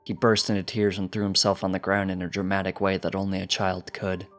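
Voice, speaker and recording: Low-voiced man narrating a story. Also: monotone, brisk, faint music behind. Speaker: fan fiction narrator.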